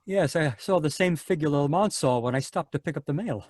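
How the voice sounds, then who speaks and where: A man's voice: on the high side, brisk, a little mellow, dry recording, clean studio sound, lightly acted. A man playing a sinister doctor who turns out to be a nursery-rhyme killer, in an old-time radio drama read over a video call. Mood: nervous. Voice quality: creepy, sing-song when reciting rhymes. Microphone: home computer mic.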